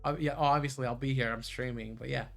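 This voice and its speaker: A man's voice: medium pitch, steady tone, fast, creaky. A man livestreaming in a playful manner, switching between casual chat and reading visual novel narration aloud. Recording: music under the voice.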